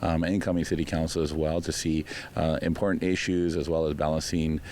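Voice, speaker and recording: A man who owns a small business and runs for city council, speaking haltingly with many fillers in a news interview. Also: very deep voice, flat delivery, darkish tone, a little hiss or hum.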